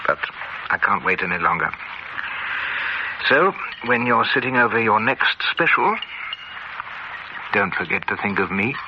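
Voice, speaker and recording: A genial businessman speaks in a brisk, clipped way with a slightly low, lively voice. The audio is dull and hissy, like an old television drama. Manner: brisk, clipped British talk, hearty asides. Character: confident English businessman, genial boss. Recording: muffled old TV audio with hiss.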